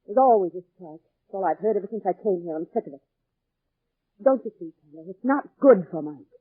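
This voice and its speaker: A woman's voice: medium pitch, animated, brisk, breathy edge, dry recording. A woman playing an earnest, world-weary, protective wife with a pleading intensity, in the style of 1940s radio drama. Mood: bitter, pained. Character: beautiful, worried, world-weary wife, bitter yet protective. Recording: tinny, band-limited 1940s radio recording.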